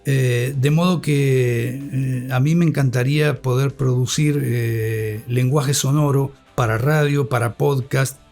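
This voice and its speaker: An Argentine man speaking thoughtfully as an interview guest and radio scholar, with deliberate delivery and a formal, learned vocabulary. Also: mid-range voice, steady tone, a little mellow, soft background music.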